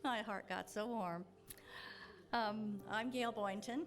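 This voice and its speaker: A woman's voice: mid-range voice, slow pace. Woman hosting a church service. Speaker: church service platform host. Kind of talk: informal welcome and devotional reading. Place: church sanctuary.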